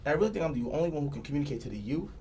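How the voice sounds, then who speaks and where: A man's voice: fairly high pitch, lively intonation, racing, dark tone, slight background noise. A man, a candidate making his case earnestly in a panel discussion. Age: 20s. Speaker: council candidate guest. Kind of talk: political discussion.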